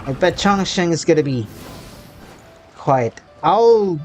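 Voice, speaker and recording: Male playthrough commentator: casual, giggly, hesitant, unhurried, with a widely moving mid-pitched voice. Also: animated, a little mellow, faint music behind. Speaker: gaming channel host.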